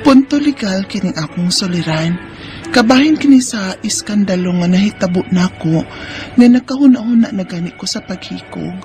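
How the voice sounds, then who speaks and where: A woman's voice: medium pitch, expressive, moderate pace, dark tone, lightly acted. An actress playing a weary, mistreated wife and mother in a Filipino radio drama, with a Bisaya accent. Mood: sorrowful, confiding. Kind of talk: Filipino radio drama acting and first-person narration. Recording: music behind studio drama voice.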